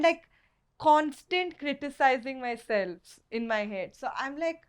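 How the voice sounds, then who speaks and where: A woman's voice: a little high, fairly bright tone, smooth. A young woman from Karnataka with a South Indian Kannada accent, chatting casually as a podcast guest and filling her talk with "like" and "you know". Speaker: guest photographer.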